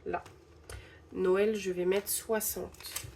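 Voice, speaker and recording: A woman's voice: mid-range voice, little pitch movement, drawn-out words, warm tone, slight background noise. A young woman with a stuffy head cold, casually narrating a budgeting vlog and hesitantly counting bills aloud. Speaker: budgeting channel host.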